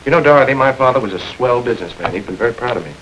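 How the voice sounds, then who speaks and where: An actor playing a calm, self-satisfied male gang boss in a 1930s crime film. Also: medium pitch, rapid-fire, slight background noise, natural voice, low-quality recording. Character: smooth-talking male gang boss planning a heist.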